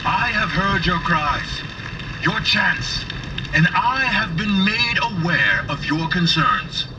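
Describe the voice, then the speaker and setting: A stern mayor doing a solemn speech, voice swings a lot, noisy far off broadcast. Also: male voice, high-pitched, sing-song, lo-fi sound, full character voice. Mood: solemn, formal. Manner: solemn, oratorical, deliberate. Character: stern male city mayor making an official statement. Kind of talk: formal political speech. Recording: noisy broadcast heard from a distance.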